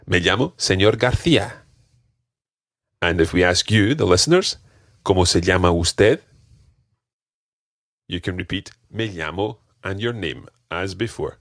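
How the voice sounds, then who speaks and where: A man teaching on a podcast. His voice sits low but swings widely in pitch as he coaches, and he takes his time, speaking at an unhurried pace. The recording is dry and close, with no room sound. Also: animated, a bit bright, dry recording. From Scotland. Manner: patient, slow model pronunciation with repeated drills. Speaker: language teacher. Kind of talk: teaching a podcast language lesson.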